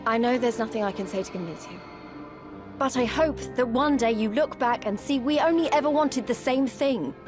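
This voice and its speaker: A woman's voice: on the high side, normal speed, music in the background, lightly acted. A woman playing an earnest, determined young resistance fighter in a video game, plain-spoken and sincere. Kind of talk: video game cutscene acting.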